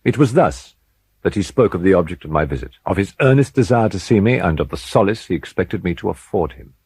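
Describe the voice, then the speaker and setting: Male audiobook reader for gothic horror: low, husky voice, solemn and theatrical, recorded dry. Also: lively intonation, a little mellow, dry recording. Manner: solemn, deliberate, theatrical phrasing. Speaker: audiobook narrator. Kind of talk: scripted dramatic narration of gothic fiction.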